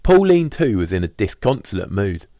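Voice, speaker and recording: A man's voice: lowish voice, very animated, normal speed, warm tone, no room sound, clean studio sound. A man narrating a first-person diary as a scripted audiobook.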